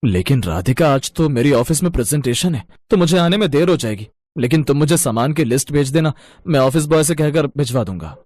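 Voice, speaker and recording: A man's voice: a little high, lively intonation, a little fast, few pauses, darkish tone, no echo. An actor playing an Indian family man in a cartoon story, talking in a familiar, conversational way. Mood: apologetic, helpful.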